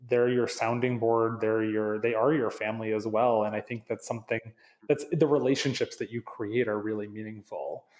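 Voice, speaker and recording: A man's voice: mid-range voice, a little mellow. A man with a slight Canadian accent touched with New England, a marketing executive chatting earnestly as a podcast guest, often restarting phrases. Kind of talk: casual interview chat.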